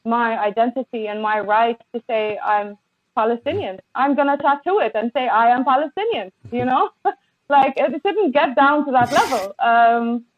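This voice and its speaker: A woman guest on a podcast, speaking with passion and many halting restarts over a remote call. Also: a little high. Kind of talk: impassioned conversation. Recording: tinny phone-like line, dry.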